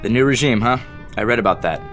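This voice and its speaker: A man's voice: medium pitch, fast, fairly bright tone, lightly acted. An actor playing an amiable, deferential junior spaceship crewman in an audio drama. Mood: dutiful. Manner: polite, deferential. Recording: music bed under the voice.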